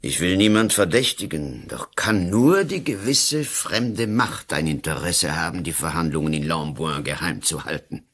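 An actor playing a pompous, cynical colonel and politician who lectures emphatically in a radio play. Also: male voice, rumbling low, lively intonation, normal speed, few pauses, a bit bright, breathy edge, exaggerated voice. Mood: insistent, stern. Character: blustering, cynical male colonel and lawyer-politician. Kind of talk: German-language radio play acting.

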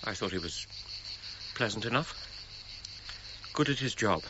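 An actor playing a stern, imperious gentleman archaeologist in a radio drama, clipped and demanding in manner. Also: lowish voice, animated, a little slow, muffled recording, noisy background. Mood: restrained disapproval. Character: Sir Arthur Evans, stern, imperious English gentleman archaeologist.

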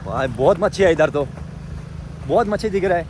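A young man casually vlogging outdoors at a lake, excitedly pointing things out to viewers. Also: on the high side. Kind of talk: casual vlog narration. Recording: noisy outdoor recording with rushing water.

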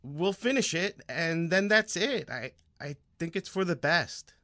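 A man's voice: a little high, lively intonation, measured pace, pushed and bright. A man playing a guarded, blunt musician in a conversational, naturalistic audio drama. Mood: defensive. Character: guarded male musician, firm with his former bandmate. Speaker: actor.

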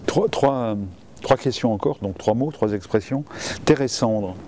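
A man's voice: medium pitch, unhurried, noisy background. A man in his fifties asking short interview questions indoors, with frequent hesitant fillers. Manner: brief prompts, hesitant fillers. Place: indoor room by a window.

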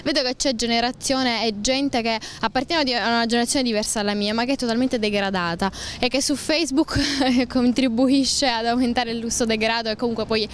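A woman in her twenties answers a street interview into a handheld mic, her voice fairly high. She speaks earnestly and pauses with fillers to find her words. Faint street sound sits behind her. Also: fairly even intonation, a little hiss or hum. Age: 20s. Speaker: passer-by interviewee. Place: outdoors on a town street. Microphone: handheld mic held by interviewer.

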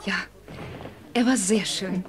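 An actress in a German audio drama playing a loyal young woman who speaks earnestly and gently. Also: medium pitch, slow, soft background music, lightly acted. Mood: admiring.

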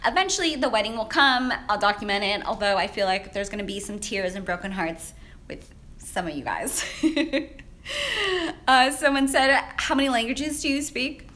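Bright, chatty young woman on a handheld phone. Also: fairly high pitch, moderate pace. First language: Vietnamese. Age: young adult. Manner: chatty, upbeat, laughs often, many fillers. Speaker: menswear stylist answering viewer questions. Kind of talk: casual live Q&A. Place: high-rise office with large windows, indoors. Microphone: handheld phone at arm's length, shaky.

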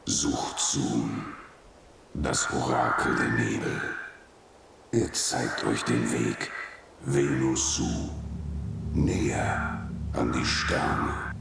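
An otherworldly spirit envoy, extremely deep and full of fry. Grave, commanding game dialogue rolls out in heavy echo. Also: male voice, extremely slow, long pauses, a bit bright, slight background noise, exaggerated voice. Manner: grave, portentous. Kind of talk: scripted video game dialogue.

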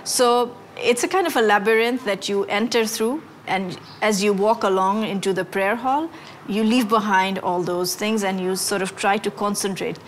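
A woman architect in her forties, speaking thoughtfully and measured in an interview, with pauses and fillers. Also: mid-range voice, a little hiss or hum. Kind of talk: interview about her work. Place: indoors in a brick building. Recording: music bed underneath.

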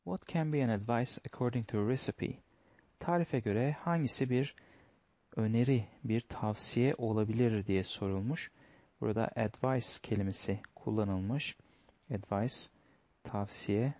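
A man's voice: mid-range voice, a little slow, warm tone, no room sound. Methodical male teacher, dry close sound. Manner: step-by-step, methodical, reads English aloud then translates. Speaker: English teacher. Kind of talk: explaining test answers.

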